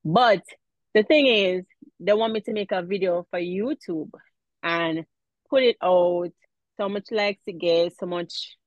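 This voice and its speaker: A woman with a Jamaican accent, chatting as a guest in a casual interview, animated and laughing often. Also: fairly low pitch, unhurried, dry recording, dull audio. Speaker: guest, mom influencer.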